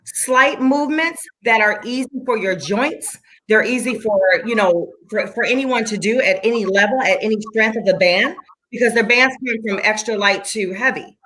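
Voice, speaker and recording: A woman's voice: fairly high pitch, normal speed, a bit bright, low-quality recording. Chatty, enthusiastic woman in her forties. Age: 40s. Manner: enthusiastic, chatty, repeats herself for emphasis. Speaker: fitness instructor. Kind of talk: casual chat.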